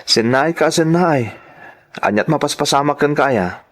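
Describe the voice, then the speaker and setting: A man's voice: a little high, lively intonation, normal speed, lightly acted. Man acting a weary, bewildered young husband. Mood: bewildered, exasperated. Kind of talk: radio drama acting.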